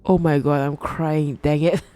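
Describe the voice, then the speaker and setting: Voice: mid-range voice, little pitch movement, unhurried, warm tone. A game streamer giving casual, exclamatory live reactions while playing. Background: game music.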